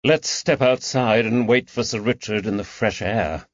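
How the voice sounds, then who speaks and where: Man voicing a grave, deliberate detective, dull recording. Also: on the low side, dry recording. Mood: grimly decisive. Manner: deliberate, commanding. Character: grave, methodical male period detective.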